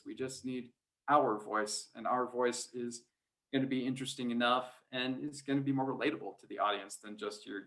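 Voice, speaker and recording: A man with a mid-range voice talks in a relaxed interview style. He sounds conversational and unaffected, like a play-by-play sports announcer speaking as himself rather than putting on a broadcast voice. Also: mid-range voice. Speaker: high school sports radio play-by-play announcer. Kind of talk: casual interview chat.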